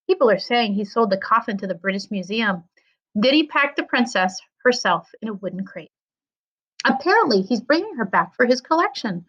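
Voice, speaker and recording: Old society gentleman read by a woman, storybook character, genteel, gossipy, chatty, slightly dull recording. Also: on the high side, very animated, normal speed.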